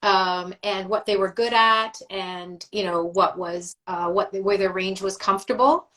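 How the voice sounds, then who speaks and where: A woman's voice: medium pitch, fairly even intonation, a little slow, a bit bright. A middle-aged woman, a voice actress, chatting as a guest on a live video call, rambling through memories with fillers and laughs. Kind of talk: casual livestream chat.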